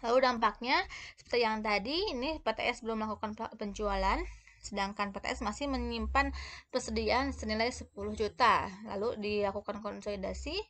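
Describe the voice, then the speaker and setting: A woman giving an explanatory lesson as a lecturer, step by step and haltingly, often correcting herself. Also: on the high side, a bit bright. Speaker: accounting lecturer.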